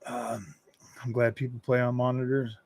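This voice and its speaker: A man's voice: medium pitch, little pitch movement, normal speed, darkish tone. A man chatting casually on a gaming live stream, answering his chat in a loose, rambling way that circles back and repeats phrases.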